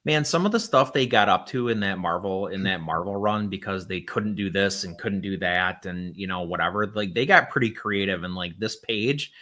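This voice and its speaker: A man's voice: low voice, moderate pace, few pauses, sharp tone. A man co-hosting a casual video-call chat, laid-back with short reactions. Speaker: co-host.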